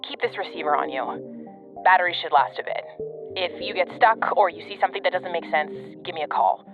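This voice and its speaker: An actress playing a cynical, impatient veteran government agent in an audio drama, clipped and commanding, heard through a radio communicator. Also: female voice, fairly low pitch, a little fast, narrow, tinny audio. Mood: grave, commanding. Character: brusque, cynical, foul-mouthed government field agent woman, impatient veteran. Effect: radio communicator filter. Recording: band-limited radio link, music underneath.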